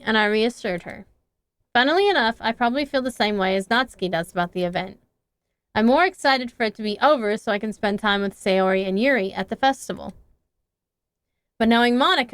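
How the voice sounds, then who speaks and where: A young woman streamer reads a visual novel aloud in an expressive, earnest way into a close microphone. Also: on the high side, no echo.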